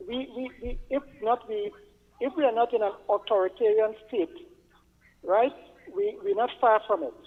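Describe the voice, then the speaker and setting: A Trinidadian man calling in to a radio show, giving an earnest opinion haltingly and often repeating words. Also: sits high. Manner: halting, stammering repetition of words. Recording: narrow phone line with reverb.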